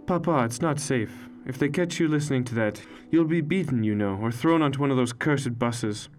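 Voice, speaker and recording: Anxious, protective mother, pleading and scolding , as a male narrator puts her on, pitched up well above the reader's own voice. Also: moderate pace, a little mellow, soft background music, lightly acted. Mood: worried.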